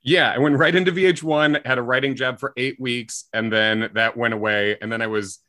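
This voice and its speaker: A man's voice: mid-range voice, steady tone, a little fast, sharp tone, no echo, clean studio sound. A comedian from Chicago with a slight Chicago accent, chatting loosely in an interview, rambling and correcting himself as he goes. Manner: rambling, self-correcting, frequent likes and ums. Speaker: comedian guest. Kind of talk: casual interview chat.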